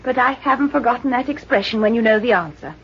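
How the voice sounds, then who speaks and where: A woman's voice: fairly high pitch, quick pace, a bit bright. An actress playing a detective's admiring, devoted lady assistant in an old-time radio mystery. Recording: dull old radio recording, faint noise.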